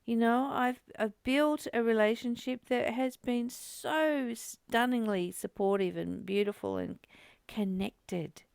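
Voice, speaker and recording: A woman's voice: fairly high pitch, slow, creaky, darkish tone, smooth, no echo, clean studio sound. A woman hosting a spiritual study, speaking in a reflective, confiding and openly emotional way, repeating phrases for emphasis. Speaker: host of a spiritual book study.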